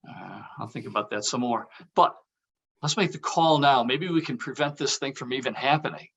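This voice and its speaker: A male actor plays an aging, anxious schemer in a comedy script. He sounds dry and sardonic, with a hesitant, everyday delivery and a mid-pitched voice. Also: normal speed, vocal fry, a bit bright, husky, highs cut off. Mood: nervous, hesitant. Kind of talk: table read of a comedy script.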